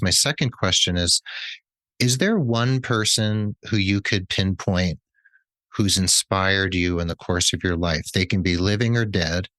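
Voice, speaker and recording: Deep-voiced male podcast host. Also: sharp tone, dry recording. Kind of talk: interview questions.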